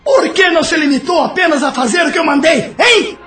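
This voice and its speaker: A man playing a bossy, mocking dark spirit master in an audio drama, scolding in slangy speech. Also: very high-pitched, expressive, brisk, slight background noise, full character voice. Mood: furious.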